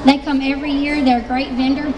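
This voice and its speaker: A woman's voice: high voice, flat delivery, fast. A woman with a Southern US accent announcing a live fashion show at an expo, talking in a chatty, folksy sales patter with plenty of fillers. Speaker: fashion show emcee and booth owner. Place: large expo hall stage. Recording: distant voice over loud music in a hall.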